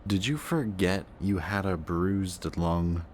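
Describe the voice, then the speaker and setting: A man playing a sardonic, gruff but protective antihero villain in an intense, emotional audio roleplay. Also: deep voice, slow pace, slight background noise. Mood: upset, worried.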